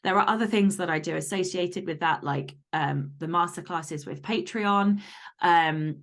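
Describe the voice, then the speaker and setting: A woman speaking as a guest author and podcaster in casual interview chat, candid, animated and emphatic. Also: lowish voice, measured pace.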